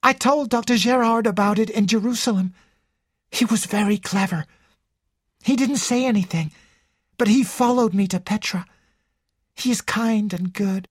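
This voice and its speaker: A woman's voice: medium pitch, normal speed, pauses often, breathy edge, no echo, clean studio sound, voice pushed up, close to an everyday voice. A woman narrator voicing an intense, fearful and proud young woman in an audiobook. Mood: soft, dreamy. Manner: intense, indignant, secretive. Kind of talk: audiobook character dialogue.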